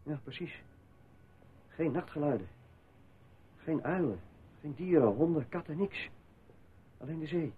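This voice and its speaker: An actor playing a nervous, superstitious small-time thief in a radio play, mouthy and mock-polite, on an old recording. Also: male voice, fairly high pitch, lively intonation, measured pace, pauses often. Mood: spooked, low. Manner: sardonic, colloquial, mock-polite. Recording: tinny narrow-band old radio recording, noisy.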